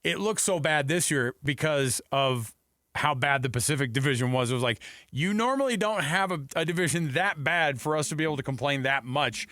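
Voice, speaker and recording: A man's voice: fairly high pitch, animated, a little fast, bright, forceful tone, no room sound, studio quality. A man hosting a sports radio show, chatting casually and putting questions to a guest.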